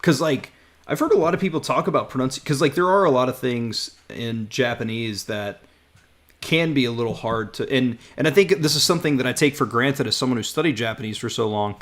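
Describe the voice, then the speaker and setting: A man's voice: medium pitch, fairly quick, a bit bright. A man hosting a podcast in casual chat, often repeating words and trailing off with fillers. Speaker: podcast host.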